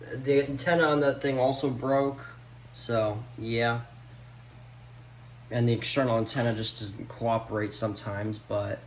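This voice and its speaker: A man talking casually off the cuff in a halting, offhand way, showing items from a collection. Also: mid-range voice. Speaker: vlogger showing a radio collection. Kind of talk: casual unscripted product show-and-tell. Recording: echoey room, background noise.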